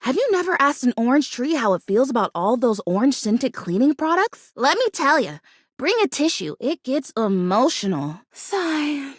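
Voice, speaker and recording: A woman's voice: sits high, sing-song, normal speed, no room sound, studio quality. A woman voicing a bubbly, scatterbrained young plastic houseplant in a video game, chatty and gushing. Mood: dismayed, wistful. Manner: gushing, chatty, easily distracted, exclamatory. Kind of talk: animated video game character acting.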